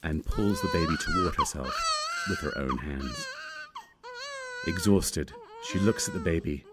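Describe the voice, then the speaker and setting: Deep, animated man reading screenplay narration. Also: a little slow, very noisy. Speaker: script narrator.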